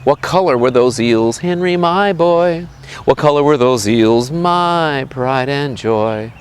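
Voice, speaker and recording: A man voicing a worried mother who calls and questions her wayward boy in a drawn-out sing-song way. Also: mid-range voice, fairly bright tone, a little hiss or hum, pitched above the performer's own voice, natural voice. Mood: scolding, questioning. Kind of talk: sung folk-song dialogue and high calling.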